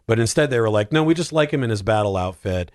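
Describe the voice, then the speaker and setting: Podcast guest, male, lower register, dry close sound, animated and opinionated casual talker. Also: fast, no room sound. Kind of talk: casual film discussion.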